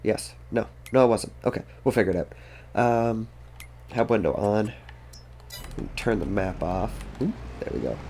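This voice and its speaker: A man's voice: fairly low pitch, unhurried, dark tone, a little hiss or hum. A congested, sniffly man giving rambling, casual commentary while playing a farming game, thinking aloud to himself and his chat. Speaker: gaming streamer.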